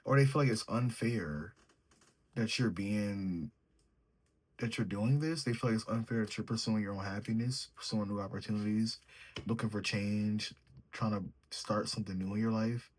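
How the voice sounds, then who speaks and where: A man with a mellow, sleepy way of talking, reading tarot cards and thinking aloud as he draws them. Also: on the low side, moderate pace, breathy edge. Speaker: tarot reader. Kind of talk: solo tarot reading, casual chat.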